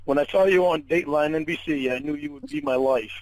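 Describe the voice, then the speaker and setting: A man chatting casually on a phone call in an animated, amused way, often exclaiming. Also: a little high, quick pace, no room sound.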